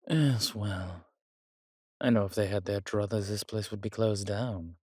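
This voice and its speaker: Man voicing a husky, courtly vampire seducer. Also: on the low side, a little slow, a little mellow, no echo, clean studio sound. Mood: reassuring, possessive. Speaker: voice actor in an audio drama. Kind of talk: intimate romantic audio roleplay addressed to the listener.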